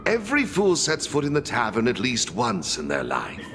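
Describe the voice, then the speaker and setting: A man voicing a smooth, wary male fence and broker in a game, speaking in a suave, dryly guarded manner. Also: mid-range voice, huge pitch swings, moderate pace, background noise. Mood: musing. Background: game music.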